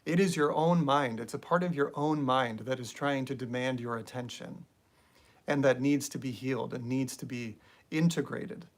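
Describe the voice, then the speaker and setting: A young man hosts a spiritual live stream in a loose, easygoing way. His voice sits at an ordinary middle pitch, and he drifts through his points with plenty of ums and uhs. He stops now and then to read viewer comments aloud. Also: normal speed. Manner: relaxed, rambling, with many fillers. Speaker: spiritual channel host.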